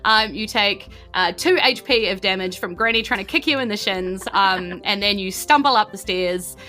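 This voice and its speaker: A woman's voice: mid-range voice, moderate pace, bright, forceful tone, soft background music. A woman, informally narrating a tabletop game as game master. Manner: informal, colloquial.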